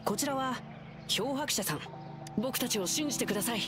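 A woman's voice: fairly high pitch, moderate pace, music in the background, lightly acted. A woman voicing an earnest, upbeat teenage boy in an anime game, eager and casual in speech. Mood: friendly, earnest.

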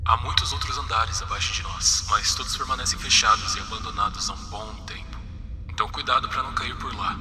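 A man's voice: lowish voice, steady tone, brisk, pushed and bright, slight background noise. Horror game monster mascot male actor: apologetic yet dangerous, Brazilian Portuguese, piercing edge, echoey, far from mic with music. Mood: calm, apologetic. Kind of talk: fan-dubbed horror game dialogue. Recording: echoey hall, distant, music behind.

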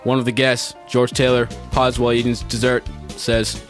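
A man's voice: medium pitch, little pitch movement, moderate pace, soft background music. A man reading scripted narration for a radio mystery as its narrator.

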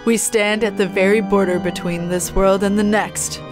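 A woman's voice: mid-range voice, fairly even intonation, over music, pitched below the performer's own voice, close to an everyday voice. A woman voicing a coolly menacing male partridge sorcerer in a visual novel, grandiose and ominous. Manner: slow, grandiose, ominous villain declamation.

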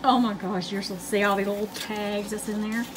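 A woman's voice: medium pitch, fairly even intonation, a little fast. A woman, chatting to viewers in a casual vlog and calling them "y'all". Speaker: vlogger. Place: car interior, then at home. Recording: car noise behind voice.

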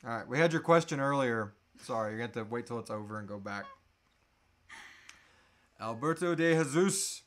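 A man's voice: medium pitch, lively intonation, a little fast, pauses often, fairly bright tone, slight background noise. A man in his thirties chatting casually and informally as host of a live Q&A, with a joking manner. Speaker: host of a live Q&A, audio drama creator. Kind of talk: casual chat, answering audience questions. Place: small room at home, indoors, seated.

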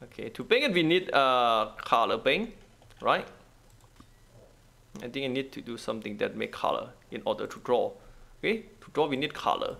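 A man who is not a native English speaker, giving a casual gameplay walkthrough in halting, repetitive short phrases. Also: mid-range voice, expressive, gravelly, a bit bright. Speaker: gaming guide host.

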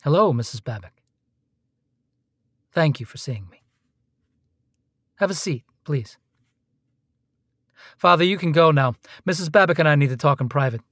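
An actor in a radio play playing a hard-nosed, insinuating Pinkerton detective, pushy and coolly threatening. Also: male voice, a little high, animated, moderate pace, long pauses, a bit bright, dry recording. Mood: coolly polite.

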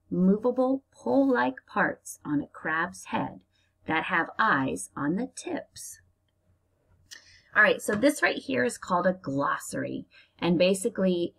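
Casting: American woman, primary teacher doing a children's read-aloud, unhurried, with swooping intonation and some fry. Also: lowish voice. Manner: slow, deliberate, expressive reading for young children. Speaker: elementary school teacher reading aloud to pupils.

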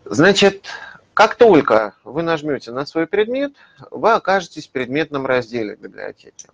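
A man's voice: a little high, very animated, normal speed, creaky. A man in his thirties, a publisher's lead methodologist, explaining a digital library in a webinar, with frequent hesitation fillers, recorded on a webcam in a small office. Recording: slightly dull lo-fi webinar audio.

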